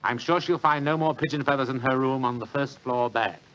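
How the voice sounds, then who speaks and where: An actor playing a courteous, assured British government gentleman in measured 1940s radio style. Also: medium pitch, dull audio, lightly acted. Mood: genial, reassuring. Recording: noisy, clicking 1940s radio recording.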